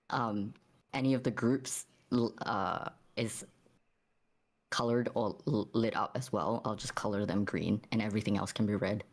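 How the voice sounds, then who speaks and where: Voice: mid-range voice, fairly even intonation, a little slow. A player in casual tabletop game chat, hesitant and searching for words.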